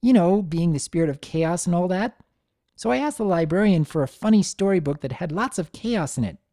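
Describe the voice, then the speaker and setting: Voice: medium pitch, animated, a little fast, mellow, no echo, studio quality, pitched above the performer's own voice, natural voice. A performer voicing a bouncy, earnest little pony girl with an eager, childlike delivery, in a dramatic fan fiction reading. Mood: excited. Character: Sunny, bouncy excitable little filly girl, earnest and playful.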